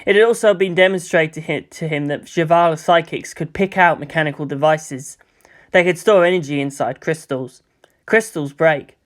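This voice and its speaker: A man's voice: fairly high pitch, normal speed. A man reading scripted fiction aloud as a storyteller, in the style of an audiobook narrator.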